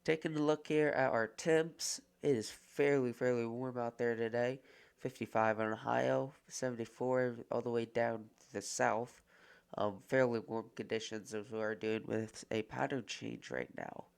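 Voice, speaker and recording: Guy with a head cold doing a weather rundown, kinda gravelly, trips on town names. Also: medium pitch, steady tone. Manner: reads place lists haltingly, stumbles on names. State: stuffed up with a cold. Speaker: weather forecaster host. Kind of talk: casual weather forecast video.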